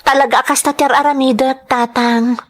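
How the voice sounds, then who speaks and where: A high-pitched young Filipina migrant worker played by a woman in a radio-style drama. She is overworked, grateful and self-sacrificing, speaking with feeling and gentle deference. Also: steady tone, brisk, lightly acted. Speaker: drama actress. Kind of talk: Filipino audio drama acting.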